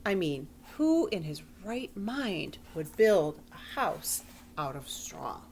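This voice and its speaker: Woman as a slow, animated sly storybook wolf. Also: on the low side, slight background noise, exaggerated voice. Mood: indignant, incredulous. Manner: folksy, conversational, exaggerated self-justifying. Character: defensive, sly wolf telling his side, chatty storybook narrator. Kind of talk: storybook read-aloud in character.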